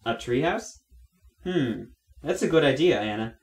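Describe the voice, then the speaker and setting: A man's voice: mid-range voice, animated, measured pace. A man playing a mild, easygoing father of young children in a radio play. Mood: mild, thoughtful. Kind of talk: amateur radio play acting. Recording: roomy, echoey sound.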